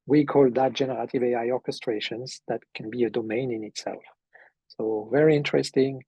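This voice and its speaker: Gravelly, husky man hosting a call. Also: mid-range voice, no echo, lo-fi sound. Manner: halting, many fillers. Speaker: community call host. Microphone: video call.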